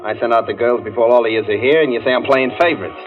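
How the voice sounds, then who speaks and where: An actor playing a gruff, bossy, slangy dance-hall manager in a 1940s radio drama. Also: male voice, medium pitch, racing, natural voice. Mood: irritated, sarcastic. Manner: brusque, slangy, streetwise. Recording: dull old radio recording, loud music.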